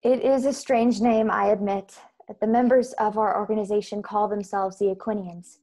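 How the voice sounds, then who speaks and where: Woman playing an earnest proud young woman, formal measured diction, mid-pitched, far-future radio drama. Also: steady tone, mellow, close to an everyday voice. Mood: earnest, firm. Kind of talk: science-fiction radio play acting. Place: bedroom on video call. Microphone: earbud headset.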